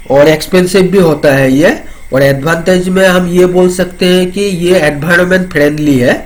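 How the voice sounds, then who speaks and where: Higher-pitched man explaining. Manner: explanatory, repeats phrases step by step. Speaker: presenter of a product explainer. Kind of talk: informal technical demonstration.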